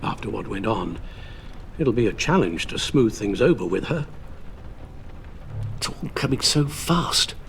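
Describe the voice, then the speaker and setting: Older british butler, formal and worried, very expressive, noisy ambience behind. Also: male voice, medium pitch, unhurried, creaky, pauses often. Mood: formal, concerned. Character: loyal older male butler, anxious, fatherly, formal. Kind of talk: video game voice acting.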